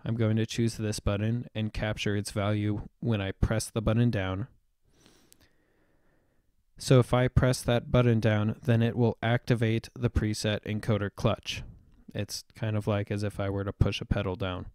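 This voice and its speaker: Low, smooth male tutorial voice. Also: little pitch movement, normal speed, a little mellow, dry recording, clean studio sound. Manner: explanatory, step-by-step, with occasional fillers. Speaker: tutorial presenter. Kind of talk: screen-recorded software tutorial. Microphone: voice-over on a screen recording.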